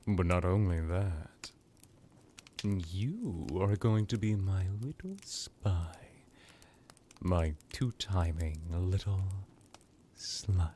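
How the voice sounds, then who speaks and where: A man performs as an ancient vampire lord in an intimate ASMR roleplay. His voice sits fairly low and moves very slowly, slipping into whispers. He is seductive and teasing, with a theatrical menace, over a faint background noise. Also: mellow. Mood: possessive, teasing. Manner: slow, theatrical, drifting into whispers.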